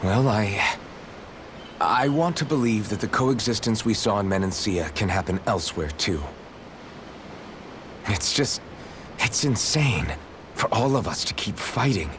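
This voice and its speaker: An actor playing a gentle, idealistic young swordsman in a video game, speaking with measured, sincere reassurance. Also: on the low side, expressive, noisy background. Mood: earnest, hopeful. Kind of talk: video game cutscene dubbing.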